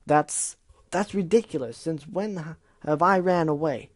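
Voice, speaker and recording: A man's voice: fairly high pitch, unhurried, dry recording, voice pushed up. A man voicing a young female pegasus pony who stammers and gets defensive, often raising her voice.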